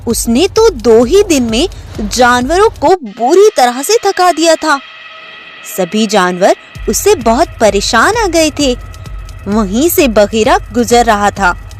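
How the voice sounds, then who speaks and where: High-pitched, slow female storyteller. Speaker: narrator. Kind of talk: scripted children's animal story narration.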